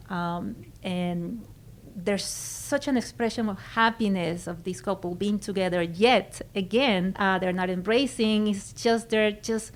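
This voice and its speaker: A middle-aged woman from Venezuela with a Venezuelan Spanish accent, talking as a guest in a conversational interview and pausing to search for her words. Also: medium pitch. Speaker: guest photographer.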